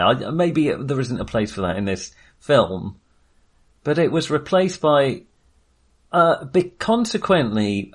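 A man co-hosting a film podcast in casual discussion, thinking aloud in a hesitant, halting way. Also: mid-range voice, breathy edge.

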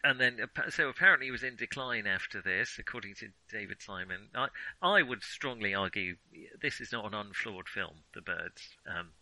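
A man with a very bright, crisp-edged voice talks through his views on film as a co-host. He feels his way through opinions, hesitating and rephrasing. The sound is dry, with little room around him. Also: lowish voice, moderate pace, hardly any pauses, no echo, rough recording. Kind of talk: casual film discussion.

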